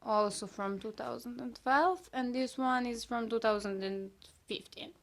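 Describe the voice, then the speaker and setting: Young woman, Serbian accent, non-native English speaker; relaxed, halting talk with a slightly high voice. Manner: hesitant, many fillers, self-critical asides. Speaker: artist showing her sketchbook. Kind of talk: casual sketchbook tour chat.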